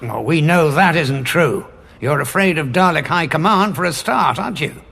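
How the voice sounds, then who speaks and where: An actor playing a defiant, quick-witted war veteran hero in a science fiction audio drama, with a wry, dramatic delivery. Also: male voice, a little high, animated, creaky, pushed and bright, breathy edge, full character voice. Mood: defiant, taunting.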